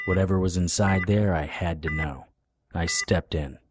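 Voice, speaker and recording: An actor playing a world-weary supernatural private eye, narrating an audio drama in a wry, deadpan way. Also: male voice, deep voice, normal speed, noisy background, close to an everyday voice. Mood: wary, suspenseful. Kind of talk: noir first-person audio drama narration.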